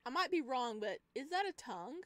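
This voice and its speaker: A woman doing casual, excitable let's play commentary and reading a visual novel aloud. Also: high-pitched, a little fast, no echo. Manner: expressive, excitable. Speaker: gaming video creator.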